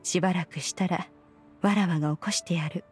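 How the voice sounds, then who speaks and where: An actress playing a caring, authoritative immortal woman in a game, calm and husky, with lofty archaic speech. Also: fairly low pitch, lightly acted. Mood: calm, kind. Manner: calm, measured, old-fashioned lofty speech. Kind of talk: Japanese game voice acting. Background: faint music.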